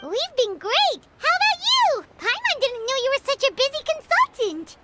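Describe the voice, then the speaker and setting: A woman's voice: extremely high pitch, big pitch swings, moderate pace. A woman voicing a tiny, bubbly fairy-like female companion who speaks of herself by name, in a video game. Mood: cheerful. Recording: loud background noise in game audio.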